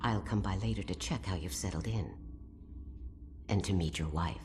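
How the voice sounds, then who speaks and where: Low whispering female voice, dark old woman, coldly sinister, deliberate, game villain, music behind. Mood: coldly menacing.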